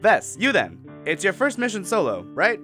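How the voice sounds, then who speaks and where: A man's voice: sits high, wildly swooping pitch, moderate pace, pushed and bright, heavily acted. A man playing a brash, persuasive space crewman in a radio drama, pushy and restless, with music behind him. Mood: cajoling, defiant. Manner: pushy, cajoling.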